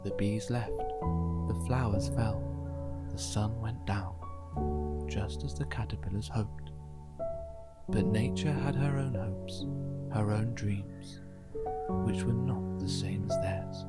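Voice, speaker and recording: Slow storybook narrator over loud music. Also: mid-range voice, steady tone. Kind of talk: gentle scripted children's story narration. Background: music bed.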